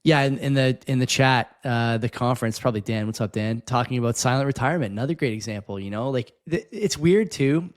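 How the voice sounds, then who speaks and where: Man, mid-pitched, casual podcast talk. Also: brisk, hardly any pauses. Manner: rambling, opinionated, frequent "you know". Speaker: podcast co-host. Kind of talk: casual conversational commentary.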